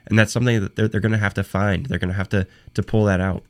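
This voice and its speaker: A young man with a fairly low voice hosts a sports talk show on his own. He is hoarse from a cold and his voice is fading. He talks off the cuff in halting bursts, restarting phrases and doubling words. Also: little pitch movement. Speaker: podcast host. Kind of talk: solo sports talk podcast.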